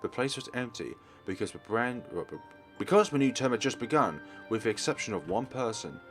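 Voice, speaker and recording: A man's voice: medium pitch, lively intonation, a little fast, a bit bright, soft background music. A man reading story narration aloud from a visual novel as a let's play host.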